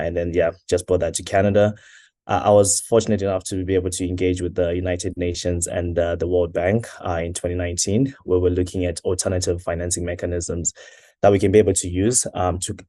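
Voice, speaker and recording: A young man from Zimbabwe, a startup co-founder, answering interview questions in a measured, hesitant way with frequent uhs. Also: low-pitched, dark tone, no room sound, clean studio sound.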